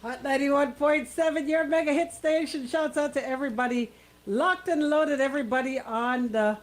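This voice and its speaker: A woman's voice: sits high, normal speed. A woman with a Caribbean accent hosting radio with casual, playful, teasing banter. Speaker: radio host. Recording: clear background noise.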